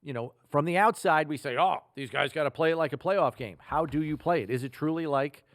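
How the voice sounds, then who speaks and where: American sports podcast host, dry close sound. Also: male voice, a little high, brisk, no room sound. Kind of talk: sports talk, questions and analysis.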